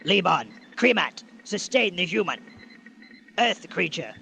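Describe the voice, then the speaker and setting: An actor playing a formal alien leader in a radio drama, speaking in stilted, precise sentences. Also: male voice, sits high, very animated, measured pace, vocal fry, pushed and bright, slight background noise, exaggerated voice. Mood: cold, formal. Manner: stilted, precise, clipped commands. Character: formal, solemn male alien leader from dying planet.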